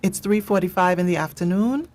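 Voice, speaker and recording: A woman playing a grave, measured narrator of a radio drama. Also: fairly low pitch, darkish tone, natural voice. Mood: solemn. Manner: measured, solemn. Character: grave, all-knowing female narrator.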